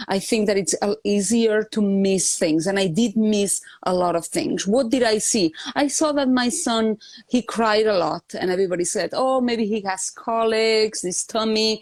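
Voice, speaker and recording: A woman from Barcelona with a Spanish Catalan accent talks as an interview guest. She speaks earnestly and fills pauses with restarts. The sound is close and dry, with no room at all. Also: medium pitch, no room sound. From Spain (Barcelona). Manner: earnest, with frequent fillers and restarts. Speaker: guest, education consultant. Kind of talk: conversational interview.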